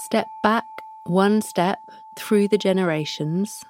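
A woman hosting a podcast, leading listeners through a guided meditation with soft prompts and long pauses. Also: mid-range voice, a little hiss or hum. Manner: slow, soft, pausing between prompts. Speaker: podcast host guiding a practice.

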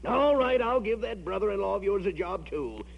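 Gravelly, blustering old-time radio boss. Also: male voice, high voice, lively intonation, moderate pace, full character voice. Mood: wheedling, gruff. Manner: blustering, exasperated, emphatic. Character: blustering irascible middle-aged businessman boss. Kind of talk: 1940s radio comedy acting. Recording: muffled vintage radio broadcast.

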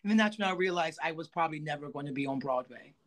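A man with a raspy voice hosting a podcast, telling stories in an expressive, jokey way. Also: a little high, racing. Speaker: podcast host. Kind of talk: casual podcast chat.

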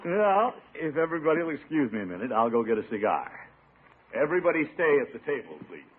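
Casting a jovial, courteous uncle for classic radio comedy, somewhat high male voice, muffled old broadcast sound. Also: big pitch swings, quick pace. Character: hearty, genial uncle, head of household. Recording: muffled, noisy vintage radio recording.

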